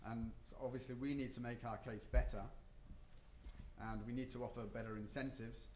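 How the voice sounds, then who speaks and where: A man talks at a conference panel in a hotel meeting room, pausing often with ums and restarting his sentences. His voice sits at a middle pitch and carries the echo of a roomy hall, with faint ambience behind it. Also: fairly even intonation, warm tone, a little hiss or hum. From not Japan or the US. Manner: hesitant, many ums and restarts.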